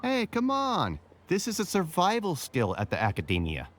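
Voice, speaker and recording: An actor playing an affable, sly academic researcher in a video game, talking in a wordy, mock-humble way. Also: male voice, high-pitched, huge pitch swings, normal speed, a little hiss or hum. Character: glib, self-deprecating male academic researcher, affable and sly. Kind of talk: video game character acting. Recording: background music.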